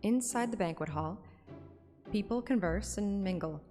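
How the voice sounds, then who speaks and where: A woman's voice: medium pitch, normal speed, warm tone. A woman streamer narrating a game story in an audiobook style, with casual asides. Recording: reverberant, music under the voice.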